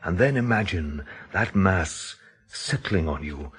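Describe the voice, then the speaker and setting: An actor in a radio drama playing a grave, intense man who speaks in an emphatic, deliberate, theatrical way. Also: low voice, expressive, fairly bright tone, husky, heavily acted. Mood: haunted, vivid. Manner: emphatic, dramatic, deliberate phrasing with rhetorical questions. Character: grave, intense countryside man expounding a dreadful theory.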